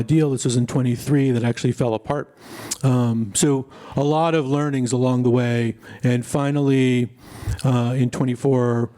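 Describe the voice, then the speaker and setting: Mellow-voiced founder on a panel. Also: male voice, medium pitch. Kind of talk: conference panel talk. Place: conference stage.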